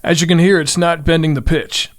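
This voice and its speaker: A man's voice: fairly high pitch, fairly even intonation, brisk. A man hosting a music production tutorial, narrating step-by-step instructions in short, simple phrases.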